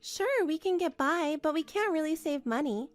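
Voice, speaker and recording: A woman's voice: high voice, expressive, normal speed, soft background music. A woman voicing a meek, polite young wife who speaks hesitantly and sounds hurt, in a manga drama. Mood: gently pleading.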